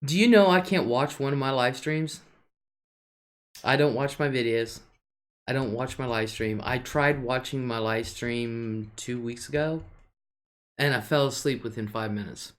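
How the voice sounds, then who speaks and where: An older woman hosting her own live stream, talking in an unhurried, drawn-out way. Her voice is a deep alto, and she chats loosely with her viewers, answers their comments and laughs between thoughts. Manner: chatty, laughs often between remarks.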